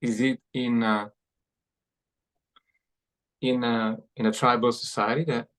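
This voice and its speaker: A man from Hungary hosts this conversation comes through clearly. He talks at an unhurried, deliberate pace in a middle pitch, restarting phrases and repeating words as he works his way toward each question. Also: little pitch movement, fairly bright tone, poor audio. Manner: halting, repeats words while forming questions. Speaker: podcast host. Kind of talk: interview questions.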